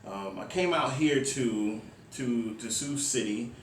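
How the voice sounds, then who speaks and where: A man from Miami speaking casually as an interview guest, in slangy, halting phrases full of ums. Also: medium pitch. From Miami, Florida, United States. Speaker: interview guest, music platform founder. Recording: echoey room, distant mic, faint noise.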